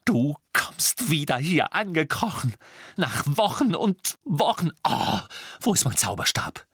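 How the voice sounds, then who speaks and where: A man voicing a furious, shrill teenage girl in an audiobook, shouting with biting sarcasm and heavy emphasis. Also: very animated, fairly quick, breathy edge, dry recording, clean studio sound, exaggerated voice. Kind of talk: audiobook character voice.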